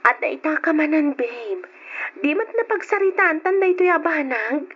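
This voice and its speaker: A woman's voice: sits high, animated, normal speed. A woman playing a cheerful young student. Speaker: student character. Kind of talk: radio drama acting. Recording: dull lo-fi radio recording.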